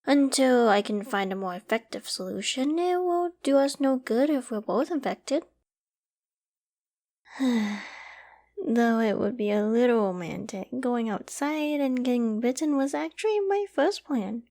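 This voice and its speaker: A woman's voice: on the high side, expressive, takes it slowly, a little mellow. A woman playing an obsessive, lovesick young survivor in an intimate roleplay drama, sweetly controlling, affectionate and possessive. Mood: dreamy, possessive. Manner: affectionate, giddy, possessive, with breathy sighs and giggles.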